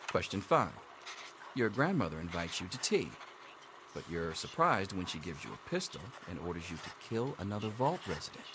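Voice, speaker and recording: An actor playing a dry, sarcastic schoolteacher running an exam in a video game. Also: male voice, low voice, sing-song, moderate pace, background noise. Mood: droll, reciting. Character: sardonic male vault schoolteacher giving an exam. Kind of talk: scripted video game character dialogue.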